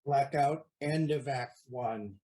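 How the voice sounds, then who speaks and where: A man's voice: medium pitch, slow, dark tone. A man narrating an audio play, reading out scripted stage directions.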